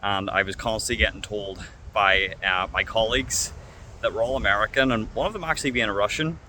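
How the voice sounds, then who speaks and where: A young man from Northern Ireland, his Northern Irish accent clear as he rambles through a story with fillers. His voice sits low but sounds bright and forward. Faint outdoor traffic hums behind him. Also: a little fast. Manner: chatty, rambling, with fillers and wee. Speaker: vlogger. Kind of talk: casual storytelling vlog. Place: outdoors by a railway line.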